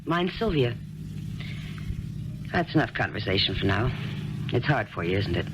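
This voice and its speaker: A woman's voice: rumbling low, moderate pace, background noise. Probing woman driver. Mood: calm. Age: middle-aged. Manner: calm, probing, blunt. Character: perceptive, blunt, middle-aged woman driver. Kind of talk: 1970s radio drama acting.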